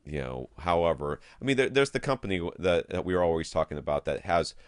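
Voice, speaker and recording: A man's voice: sits low, very animated, fast, clean tone, dry recording, clean studio sound. A man co-hosting a podcast in a casual, informal and blunt way, sometimes reading out listener questions. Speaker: podcast co-host.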